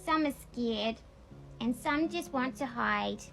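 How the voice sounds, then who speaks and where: A woman's voice: a little high, slow pace, creaky, over music, rough recording. A woman reading aloud in a childlike storybook manner, with a casual, homemade read-along style. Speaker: vlogger reading a picture book aloud.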